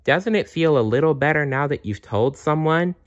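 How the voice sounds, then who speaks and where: Man voicing a soothing, gentle female storybook bear. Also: on the high side, lively intonation, normal speed, highs cut off, voice pushed up, natural voice. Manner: soothing, reassuring. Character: gentle, comforting female bear, caring friend to a child.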